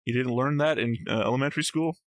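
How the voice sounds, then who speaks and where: A man's voice: medium pitch, fast, no room sound. A man co-hosting a reaction show, talking through his notes with a dry, analytical manner. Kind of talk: casual episode discussion reading own notes.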